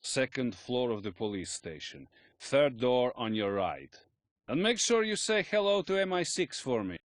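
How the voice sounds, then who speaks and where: A man's voice: medium pitch, sing-song, unhurried, no room sound. A man playing a sardonic, grudging informant in a game cutscene.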